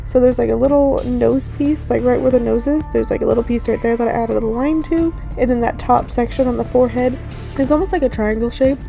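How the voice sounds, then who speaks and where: Raspy, high-pitched woman narrating a tutorial. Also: brisk, mellow. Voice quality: raspy, slightly hoarse. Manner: rambling, informal. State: just woke up, morning hoarseness. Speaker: makeup tutorial host. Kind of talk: casual voice-over tutorial narration. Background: background music.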